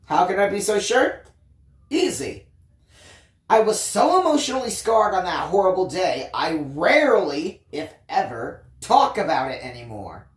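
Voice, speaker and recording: A storyteller reading a first-person tale expressively, with theatrical emphasis and drawn-out phrases. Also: medium pitch, big pitch swings, echoey room. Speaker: audiobook reader, story time host.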